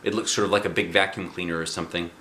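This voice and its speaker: A man narrating a children's book aloud with an expressive, dramatic delivery. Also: low-pitched, racing. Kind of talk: animated children's storybook reading. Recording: echoey room.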